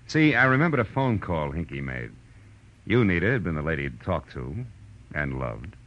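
An actor playing a wry, world-weary private detective in 1940s radio noir style, with a dry, hard-boiled manner. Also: male voice, extremely low pitch, sing-song, normal speed, a bit bright, exaggerated voice. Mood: explaining, sober. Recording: muffled old radio recording, faint music.

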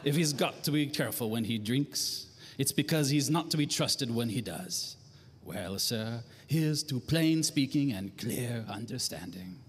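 An actor playing a smug, talkative middle-aged nightclub owner with affected refinement, in a 1940s-style noir radio drama. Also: male voice, mid-range voice, expressive, unhurried, natural voice. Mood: jovial, sly. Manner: affectedly refined, loquacious, chuckling.

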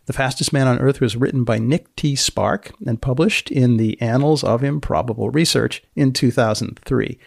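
A man hosting a podcast, reading scripted narration in a measured, deliberate, dryly deadpan way. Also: medium pitch, vocal fry, no echo, studio quality. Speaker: podcast host.

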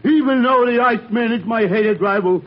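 A man's voice: very high voice, normal speed. A man playing a bumbling, vengeful old surgeon in an old-time radio comedy, with slangy, comic delivery. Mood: wisecracking. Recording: muffled, very noisy vintage radio recording.

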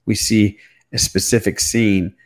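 A man's voice: on the low side, flat delivery, unhurried. A man hosting a podcast, chatting casually about films with a panel. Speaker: podcast host.

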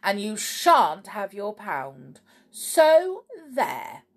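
A woman's voice: on the low side, lively intonation, slow pace, vocal fry, husky, full character voice. A woman voicing a bossy male goblin in a storybook, retorting in an emphatic, severe way.

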